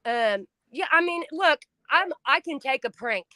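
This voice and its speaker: A middle-aged woman talking on a home stream, loud and blunt, swearing freely, her voice climbing when she gets upset. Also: sits high, expressive, unhurried, no echo. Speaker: stream co-host. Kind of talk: emotional casual chat.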